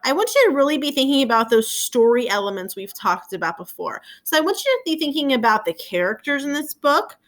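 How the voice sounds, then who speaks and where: A woman's voice: high-pitched, very animated, fairly quick, few pauses, fairly bright tone. A woman teacher reading aloud to young children, addressing them as friends and prompting them with questions. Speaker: elementary school teacher.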